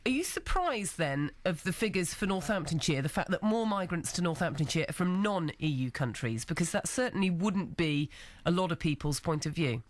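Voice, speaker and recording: A woman's voice: on the low side, animated, normal speed, hardly any pauses, no echo. A woman presenting a radio show, interviewing a studio guest with probing, conversational questions. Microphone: studio microphone.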